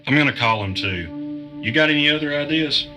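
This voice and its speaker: A man's voice: fairly high pitch, expressive, moderate pace, music in the background, natural voice. An actor playing a suspicious, stubborn man under stress in a naturalistic audio drama. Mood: weary, determined.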